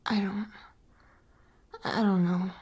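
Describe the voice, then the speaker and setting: A woman playing a fragile, self-doubting insomniac patient in a psychological horror audio drama, speaking haltingly. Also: mid-range voice, flat delivery, a little mellow, slightly rough, dry recording. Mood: doubtful, downcast. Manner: hesitant, halting. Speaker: therapy patient.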